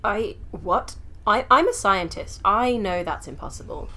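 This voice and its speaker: A woman's voice: mid-range voice, animated, measured pace, sharp tone, exaggerated voice. Woman voicing a stammering, flustered scientist. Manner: nervous, stammering, sarcastic. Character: flustered young woman scientist stuck on a strange train. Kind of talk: audio drama acting.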